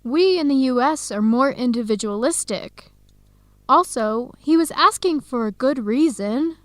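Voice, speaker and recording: An actress playing an earnest young Ethiopian-student in a radio drama. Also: female voice, high voice, animated, measured pace, no echo. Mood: explaining, defensive. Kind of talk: radio drama acting, simulated video call.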